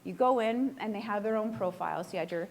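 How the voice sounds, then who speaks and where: A woman real estate agent giving a casual live presentation to a room, hoarse and close to losing her voice, chatty and self-deprecating as she puts questions to the audience. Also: medium pitch, quick pace, a little mellow, low-quality recording.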